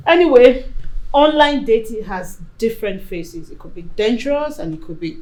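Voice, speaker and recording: A woman's voice: on the high side, animated, normal speed, darkish tone, rough recording. A woman hosting a discussion talk show, addressing guests and viewers.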